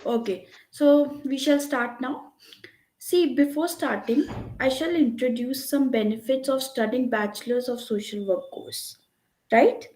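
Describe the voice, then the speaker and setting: A woman's voice: sits high, a little slow, a little mellow. A woman giving an online lecture, explaining at length and checking in with "right?". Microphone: computer mic on a video call. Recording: echoey room.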